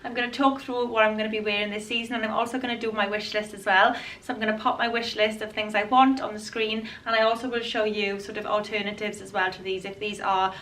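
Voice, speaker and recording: A woman in her twenties, a fashion vlogger chatting to camera in a casual, enthusiastic way, her voice a little hoarse from a cold. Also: mid-range voice, level tone, fast, few pauses, a bit bright, recorded from a distance, a little hiss or hum. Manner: chatty, enthusiastic, restarts and repeats words. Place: bright room indoors.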